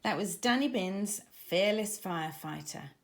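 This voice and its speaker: A woman narrating a scripted children's story in a dramatic, expressive manner. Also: medium pitch, measured pace. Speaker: author narrator.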